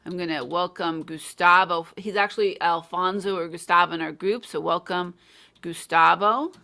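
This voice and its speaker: A woman in her thirties hosting a live online interview, speaking in an encouraging, measured way. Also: on the low side, steady tone, creaky, bright, forceful tone. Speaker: host.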